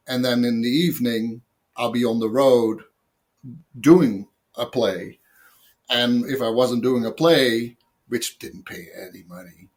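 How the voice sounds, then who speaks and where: An older man from the Netherlands with a Dutch accent, a guest telling long rambling stories about his past jobs with lots of fillers and self-mocking asides. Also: mid-range voice. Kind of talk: casual interview storytelling.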